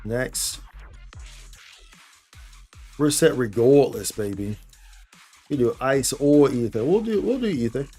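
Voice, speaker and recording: A man commentating live on a game in a loose, slangy, playful way. His voice sits at a medium pitch, and he repeats phrases and drops in little vocal sound effects. Light music plays behind him. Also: lively intonation, slow pace, pauses often, mellow, husky. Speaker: game livestreamer. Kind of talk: casual live gameplay commentary.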